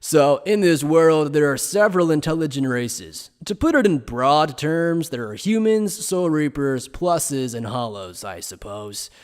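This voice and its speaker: A man playing an evasive, playful scholar who explains things in a polite, measured, teasing way in an anime fan dub. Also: fairly high pitch, expressive, smooth. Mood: polite, explanatory. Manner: polite, measured, teasing lecturer with coy understatement.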